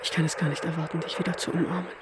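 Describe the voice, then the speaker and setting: An actress playing a tender, adoring woman in love in an intimate radio drama, with a breathy voice. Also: deep voice, level tone, very fast, very noisy, natural voice. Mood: eager, tender. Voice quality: breathy, half-whispered.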